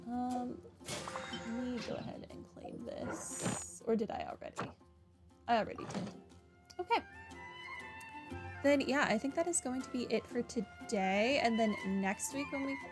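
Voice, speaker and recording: A woman's voice: fairly high pitch, normal speed, long pauses. A woman game streamer giving casual commentary on a playthrough. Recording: game music behind the voice.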